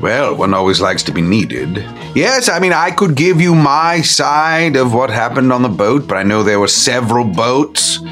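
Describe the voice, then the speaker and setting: A man's voice: mid-range voice, expressive, moderate pace, few pauses, a bit bright, soft background music, natural voice. A man voicing a sarcastic, theatrical vampire courtier in a tabletop game, with an exaggeratedly gallant way of talking. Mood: sly.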